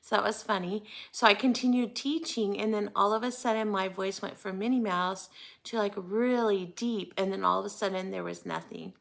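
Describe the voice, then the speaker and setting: A woman's voice: mid-range voice, moderate pace, creaky, a bit bright. An American woman chatting casually to viewers as host of a hobby vlog, in a friendly, talkative manner. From United States. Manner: chatty, friendly greetings and asides. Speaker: host of a cross-stitch video channel.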